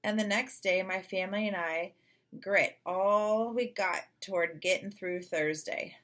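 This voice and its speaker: A woman narrating a children's story in an expressive read-aloud style. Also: medium pitch, unhurried. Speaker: storybook reader.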